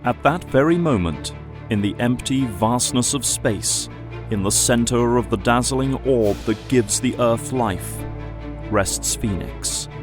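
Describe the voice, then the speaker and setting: A man's voice: mid-range voice, takes it slowly, over music. A man narrating an audio drama in a scripted, dramatic storytelling style.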